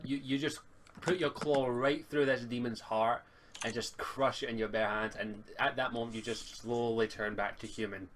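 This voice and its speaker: A man with a Scottish accent improvising narration as game master, in a halting, informal way. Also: medium pitch. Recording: faint noise and clicks behind voice.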